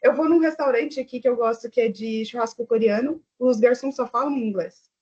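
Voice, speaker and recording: A woman from Brazil with a Brazilian accent and a fairly high, husky voice. She talks in a chatty way, thinking aloud and filling gaps with little sounds. The sound is dull and lo-fi, like a video call. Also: a little fast, a little mellow. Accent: Brazilian Portuguese. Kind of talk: online panel chat. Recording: dull lo-fi online call audio.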